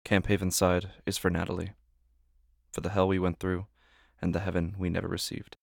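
A man with a gravelly voice reading scripted announcements and narration for a horror podcast. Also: low-pitched, monotone, fairly quick, no room sound, studio quality. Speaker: podcast creator, host and announcer.

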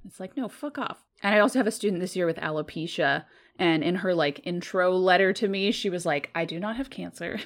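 A woman's voice: fairly low pitch, fairly quick. A woman co-hosting a podcast, chatting casually and reading listener comments aloud. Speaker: podcast co-host. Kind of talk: casual chat.

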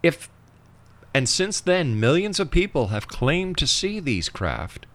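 A man's voice: on the high side, big pitch swings, takes it slowly, a bit bright. A man hosting an interview show, speaking conversationally and emphatically, repeating phrases to drive his points home. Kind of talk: conversational interviewing and opinionated banter.

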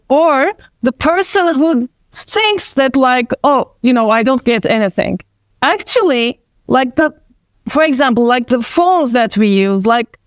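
A woman's voice: high voice, clear, resonant tone, no room sound. A woman in her thirties who speaks English with a Turkish accent, a panelist and event organizer talking casually, rambling with many fillers and easy laughter.

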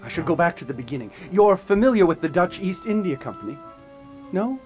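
An actor playing an eccentric ghost hunter in a radio drama, who speaks in formal, old-fashioned sentences. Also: male voice, high-pitched, sing-song, brisk, a little mellow. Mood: ominous. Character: grave, eccentric male ghost hunter telling a dark tale. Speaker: witness recounting a haunting. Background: music.

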